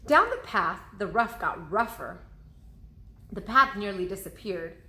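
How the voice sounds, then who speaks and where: A woman's voice: mid-range voice, expressive, measured pace, sharp tone. A woman reading a children's storybook aloud in the manner of a teacher. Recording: roomy, echoing indoor sound.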